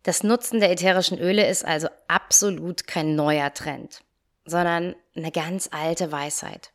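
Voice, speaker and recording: A young woman hosting her own podcast as a yoga teacher and coach, talking in a calm, friendly way straight to the listener, her nose still a little stuffy from a cold. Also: fairly low pitch, measured pace. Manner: calm, friendly direct address. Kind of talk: solo podcast talk.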